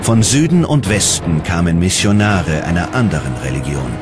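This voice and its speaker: A man narrates a documentary in a low voice with wide, animated intonation. His delivery is measured and dramatic, like scripted storytelling. Loud music plays under him. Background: loud background music.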